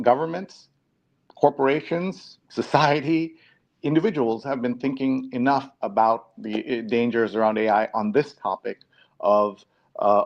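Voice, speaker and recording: A man from New York hosting a live talk show in a casual, conversational presenting style. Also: medium pitch. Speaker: show host. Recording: dull, lo-fi online stream audio.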